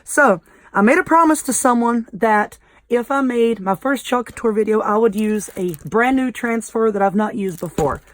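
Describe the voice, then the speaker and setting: A woman with a Southern American accent and a slightly hoarse, croaky, squeaky voice, hosting a casual craft tutorial in a folksy, informal way. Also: normal speed. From southern United States. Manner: folksy, informal, self-deprecating. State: voice a little hoarse. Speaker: craft video host.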